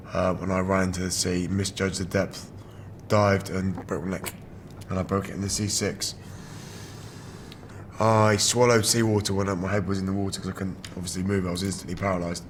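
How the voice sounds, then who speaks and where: A British man in his twenties with a rough, damaged voice, speaking candidly as a wheelchair rugby player in an interview. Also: low-pitched, little pitch movement, a little fast. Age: 20s. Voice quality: damaged, rough voice from throat surgery. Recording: background noise on location.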